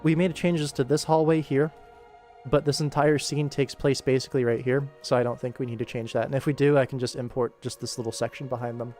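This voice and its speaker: A man's voice: medium pitch, steady tone, a little fast, darkish tone, faint music behind. A young man rambling casually as he hosts a live work stream and talks through his animation work. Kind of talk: casual rambling livestream commentary while working.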